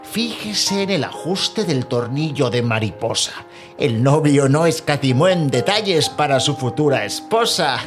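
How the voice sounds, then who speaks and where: Man playing an animated, eager antique dealer. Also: a little high, a little slow, faint music behind. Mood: eager, persuasive. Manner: persuasive, courteous salesman. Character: eager antique dealer, courteous, proud sales patter. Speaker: voice actor in an audio story. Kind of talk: dramatised short story dialogue.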